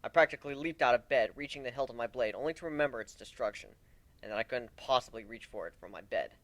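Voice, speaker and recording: A man's voice: medium pitch, quick pace, fairly bright tone, dry recording. A man reading a story aloud dramatically as an amateur narrator. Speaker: amateur reader of a fan story.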